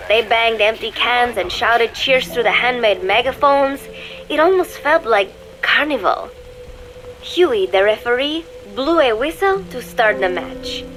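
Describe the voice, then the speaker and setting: A woman's voice: on the high side, fairly bright tone, poor audio. An actress playing a prim, secretive young woman in a video game, narrating an audio diary in a composed, precise, faintly disdainful way.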